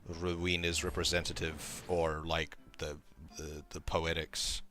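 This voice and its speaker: A male streamer with a croaky, tired voice, casually thinking aloud and rambling while playing a game. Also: extremely low pitch, flat delivery, a little slow, bright, forceful tone. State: tired voice from talking all day. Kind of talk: casual gaming livestream commentary.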